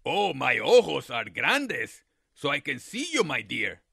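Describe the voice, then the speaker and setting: A man voicing a sly, hungry coyote villain from a storybook, with slow, gravelly, menacing delivery. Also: mid-range voice, wildly swooping pitch, fairly bright tone, dry recording, studio quality, voice pushed down, full character voice. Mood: falsely sweet.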